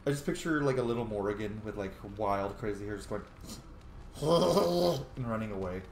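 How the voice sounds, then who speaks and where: Guy doing casual rpg playthrough commentary, sweary n playful, animated pitch, roomy echo w faint noise. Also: moderate pace, gravelly. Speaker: gamer playing a role-playing game.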